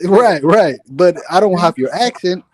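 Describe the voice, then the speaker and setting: A man's voice: high voice, lively intonation, a little slow, dry recording. A man with a West Coast US accent chatting playfully in an online voice call, teasing and insistent. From United States. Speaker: chat guest. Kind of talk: casual online voice chat.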